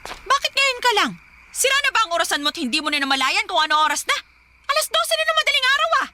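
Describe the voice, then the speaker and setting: A woman playing a domineering, suspicious middle-aged mother in a Filipino radio drama, nagging and accusing in a sharp-tongued, melodramatic way. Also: extremely high pitch, very animated, quick pace, noisy background. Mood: angry, interrogating.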